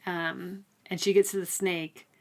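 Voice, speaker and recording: A woman's voice: on the low side, little pitch movement, measured pace. A woman in her thirties with an American accent, co-hosting a casual book chat and explaining things in order. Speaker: podcast co-host.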